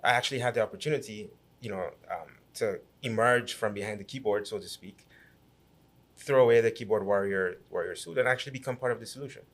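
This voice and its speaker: A low-pitched man from Guyana, in his thirties, guest on a podcast. He tells his story with animation, often restarting and repeating words as he goes. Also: fast talker. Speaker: guest, businessman and city councillor. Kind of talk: conversational podcast interview.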